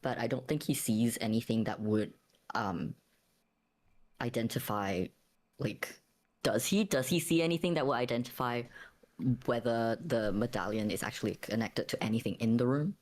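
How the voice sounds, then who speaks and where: A man casually narrating his character's actions in a tabletop roleplaying game, pausing to think as he goes. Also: medium pitch.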